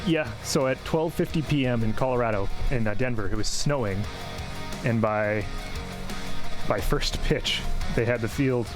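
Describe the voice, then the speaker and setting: A man's voice: mid-range voice, moderate pace, music in the background. A man talking sports casually as a panelist on a radio show.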